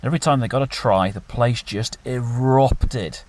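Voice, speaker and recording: An actor playing a wry, easygoing but grumbling survivor with a husky voice in an audio drama. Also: male voice, mid-range voice, moderate pace, a little mellow, natural voice. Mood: nostalgic.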